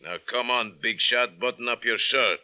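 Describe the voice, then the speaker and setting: A man's voice: mid-range voice, normal speed, sharp tone. A man playing a menacing thug in an old-time radio drama, with a tough, taunting, clipped manner. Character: menacing, mean-looking male thug with a knife. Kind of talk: 1940s radio acting. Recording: muffled, tinny old radio recording.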